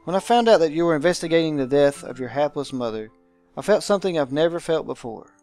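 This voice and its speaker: A man voicing a visual novel's aristocratic guardian, pompous, dramatic and deliberate, with menacing affection. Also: a little high, animated, darkish tone, soft background music. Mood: grandiose joy.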